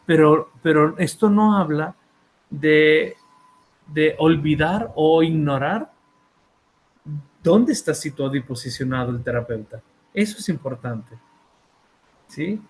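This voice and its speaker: A Mexican man speaks slowly and deliberately in a higher register, giving an academic talk about his book. He stumbles over fillers and repeats words, often tossing in a quick check for agreement. Faint background noise sits under his voice. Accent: Mexican Spanish. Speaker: author and therapist presenting a book.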